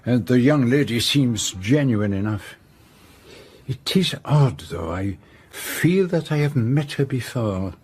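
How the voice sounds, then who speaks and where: An actor playing a courteous middle-aged detective in an audio drama, speaking in a measured, formal, inquisitive way. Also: male voice, medium pitch, expressive, a little mellow, breathy edge. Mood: pensive.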